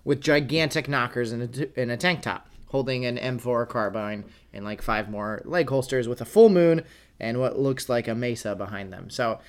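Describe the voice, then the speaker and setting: A man co-hosting a podcast with his wife, chatting casually and joking with quick rambling asides. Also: medium pitch, smooth. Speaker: podcast co-host. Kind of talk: casual chat.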